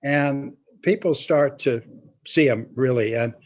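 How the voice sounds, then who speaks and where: A man's voice: medium pitch, slow pace. An older man telling a casual, rambling show-business anecdote, acting out the quotes as he goes. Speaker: storyteller, show-business veteran. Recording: dull, lo-fi sound.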